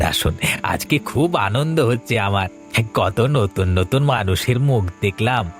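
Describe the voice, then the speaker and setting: A man voicing an eager, easily spooked village husband in a cartoon, chatty and expressive. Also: lowish voice. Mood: friendly. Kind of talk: Bengali cartoon dialogue acting.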